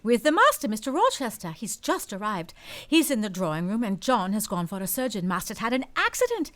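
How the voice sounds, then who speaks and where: An actress playing a proper housekeeper of a great house in a radio play, formal and emphatic in manner. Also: female voice, mid-range voice, very animated, brisk, fairly bright tone. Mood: brisk, urgent.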